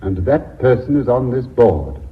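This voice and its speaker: An actor playing a grave, firm, loyal board member in a radio drama, earnest and emphatic. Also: male voice, medium pitch, sing-song, a little slow. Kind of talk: British radio drama acting. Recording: thin, phone-like old radio recording.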